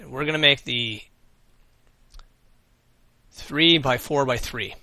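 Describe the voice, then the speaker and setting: Bright-voiced man losing his voice. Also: mid-range voice, takes it slowly, dry recording. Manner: thinks aloud, mutters, swears casually. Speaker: let's play host. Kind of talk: casual gameplay commentary.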